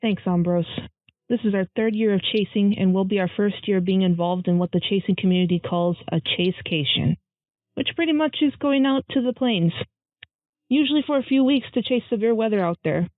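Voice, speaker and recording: Phone-like, narrowband audio, very dry. An earnest storm chaser, as acted for a drama gives scripted interview answers in a medium-pitched voice. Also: brisk, dry recording, lightly acted. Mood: earnest, sober. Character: earnest young storm chaser named Juniper, recounting past chases.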